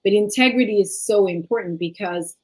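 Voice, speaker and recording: A woman raised in Sweden whose first language is Tigrinya, speaking as a life coach in an interview, reflective and measured with frequent fillers. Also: mid-range voice. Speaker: life and leadership coach, guest. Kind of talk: interview conversation.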